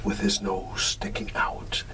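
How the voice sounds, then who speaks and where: Sleepy, slow-talking man reading a bedtime story. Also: whispered, over music. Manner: drowsy, yawning, drawn-out halting delivery with hums. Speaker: bedtime storyteller in an ASMR roleplay. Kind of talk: ASMR roleplay, reading a children's story.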